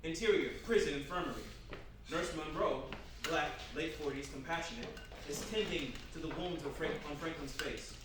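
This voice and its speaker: A man with a slightly high voice reads scripted screenplay narration and stage directions. He sounds far from the mic in a very echoey room with clear noise. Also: moderate pace. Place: live staged reading in a room.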